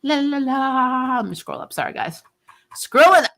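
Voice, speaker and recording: A woman's voice: sits high, big pitch swings, moderate pace, fairly bright tone. A woman hosting a casual recap livestream, talking informally and chattily about shows and viewer comments. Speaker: host of a TV recap show.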